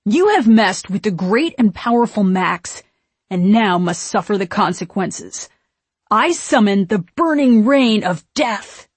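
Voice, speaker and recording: Woman voicing a loud, brash teenage boy. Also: medium pitch, lively intonation, measured pace, slightly rough, dry recording. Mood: grandiose. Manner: loud, grandiose, theatrical. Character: brash teenage boy bluffing as mighty sorcerer. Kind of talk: audiobook character voice.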